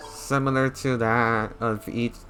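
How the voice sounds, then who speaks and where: A man's voice: medium pitch, flat delivery, measured pace, noisy background. A man doing casual gameplay commentary in short, muttered asides. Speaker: gamer playing and reacting.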